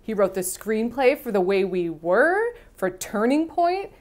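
Woman in her 30s, film co-host, giddy and gushing, bouncy pitch, small home room. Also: big pitch swings, a little slow. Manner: giddy, gushing, full of asides. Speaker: co-host of a film review show. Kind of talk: casual film review chat.

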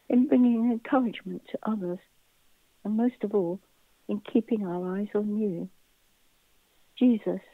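A woman reading scripted church intercessions as prayer leader, in a measured, solemn, reverent way. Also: medium pitch, dry recording. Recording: dull, phone-like narrow band.

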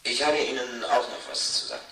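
An actor playing a controlled, bureaucratic department chief in a 1980s science fiction radio play, speaking formally and deliberately, as if over an intercom. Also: male voice, medium pitch, huge pitch swings, gravelly, bright, forceful tone, heavily acted. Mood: grave. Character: male department superior, official, controlled, bureaucratic. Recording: echoey, distant intercom-like voice.

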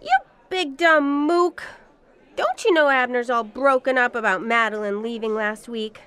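An actress playing a brash, sharp-tongued nightclub dame in a noir radio drama, scolding with theatrical emphasis. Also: female voice, on the high side, unhurried, clean tone. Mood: indignant scolding.

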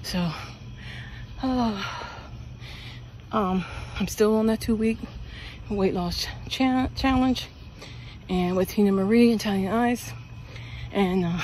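A woman's voice: mid-range voice, slow, pauses often, a little mellow, poor audio. Middle-aged woman, walking vlog at night, chatty and rambling, earbud mic, phone at arm's length, faint outdoor hum. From Pennsylvania, US. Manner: chatty, rambling, full of fillers and restarts. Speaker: vlogger. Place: outdoors on a suburban street at night. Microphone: earbud mic, phone held at arm's length while walking.

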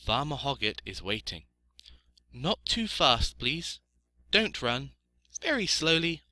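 A man voicing an eager young male piglet in a storybook, gentle and very courteous. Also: mid-range voice, very animated, slow, dry recording, voice pushed up, exaggerated voice. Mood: gentle, coaxing.

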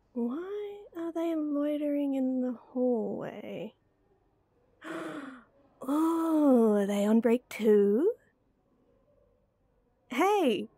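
A woman acting as a young female best friend in a roleplay audio, with a high, mellow voice. She is playful, teasing and coaxing, and takes her time very slowly in a close, dry recording. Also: gravelly, no room sound, heavily acted. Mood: excited, calling. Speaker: voice actor. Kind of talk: acted roleplay audio addressed to the listener.

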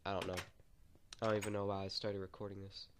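Excitable young man vlogging from home. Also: low-pitched, quick pace, background noise. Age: young adult. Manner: excitable, rambling, lots of fillers. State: stuffy nose and sore throat. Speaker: gaming YouTuber. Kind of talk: casual vlog chat. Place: bedroom at home, indoors.

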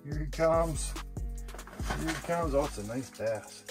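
A man vlogging his ice fishing trip in a shack on a frozen lake, excited and chatty, talking to the fish he sees on camera. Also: on the high side, a little slow, over music. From Wisconsin. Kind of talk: casual outdoor fishing commentary.

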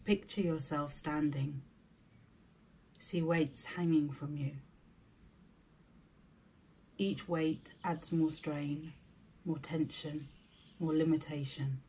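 A woman leading a scripted guided meditation in a soothing, deliberate manner, with short spaced phrases. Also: deep voice, fairly even intonation, dark tone, slight background noise.

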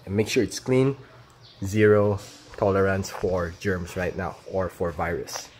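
Slow, halting Filipino man vlogging. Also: low voice, a little mellow. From Philippines. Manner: halting, explanatory. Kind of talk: casual how-to vlog demonstration. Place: outdoor paved yard or garage at home. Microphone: handheld phone camera. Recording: clear ambient noise on phone video.

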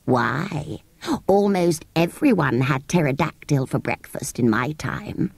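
An ancient, grumpy sand fairy from a storybook drawls in a low, gravelly, husky voice, as a woman puts him on. The sound is dry and close. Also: expressive, takes it slowly, a little mellow, no room sound, heavily acted. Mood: drawling.

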